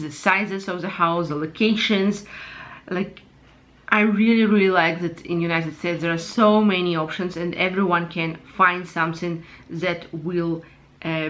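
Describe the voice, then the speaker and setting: A woman from Ukraine hosting her own channel, giving her personal opinions unscripted and pausing often to search for words. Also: lowish voice, a bit bright. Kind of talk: unscripted personal commentary to camera.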